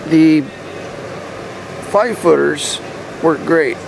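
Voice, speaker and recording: A laid-back man talks to the camera in a rambling, conversational way, explaining as he goes. His voice sits a little high for a man and has a lot of gravelly fry. He is recorded outdoors, with clear ambient and vehicle noise behind him. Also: drawn-out words. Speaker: vlogger showing a DIY radio antenna install. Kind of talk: casual rambling vlog talk to camera. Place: outdoors beside his truck.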